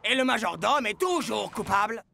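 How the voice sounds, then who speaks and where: Very high, creaky vain cartoon star. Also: male voice, expressive, moderate pace, pushed and bright, heavily acted. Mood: demanding, impressed. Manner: dramatic, self-pitying. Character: vain, melodramatic male star footballer. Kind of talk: cartoon dub acting.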